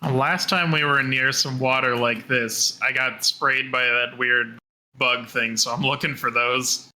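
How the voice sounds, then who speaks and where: A man talks casually as a player in a tabletop game. His voice is strikingly bright and sharp, at a middling pitch. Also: steady tone, unhurried, poor audio.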